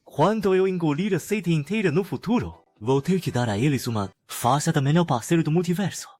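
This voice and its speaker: Man playing a smug scheming cultivator, lively swooping intonation, fairly high, mocking, motion comic. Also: a little fast, dry recording, soft background music. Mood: gleeful. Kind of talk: dubbed motion comic drama.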